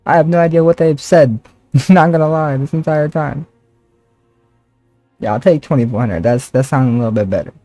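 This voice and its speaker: A man's voice: on the high side, moderate pace, pauses often, warm tone, no room sound, soft background music. A man doing casual, slangy live stream commentary over a game, with joking complaints.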